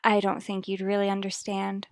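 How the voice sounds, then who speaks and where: A woman's voice: medium pitch, completely flat delivery, normal speed, no room sound, clean studio sound, close to an everyday voice. A woman playing a troubled, guarded wife and mother in an old-time radio soap, restrained and evasive in manner. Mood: shaken, anxious.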